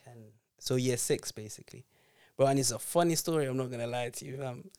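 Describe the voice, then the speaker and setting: A man's voice: medium pitch, brisk, mellow, clear, resonant tone. A man from Zambia, chatting casually with slangy, laid-back speech and frequent laughter. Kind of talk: casual chat.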